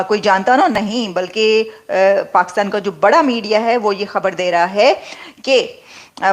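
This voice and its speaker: A woman with a Pakistani accent giving conversational news commentary to online viewers, repeating and rephrasing her points for emphasis. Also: medium pitch.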